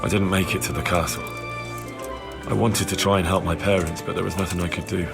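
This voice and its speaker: A young villager from a medieval game, his voice notably low. He is earnest and dogged, talking plainly as he investigates, with music playing clearly underneath. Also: steady tone, a little fast. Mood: grieving. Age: young adult. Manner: plain-spoken, earnest, insistent. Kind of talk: video game cutscene acting.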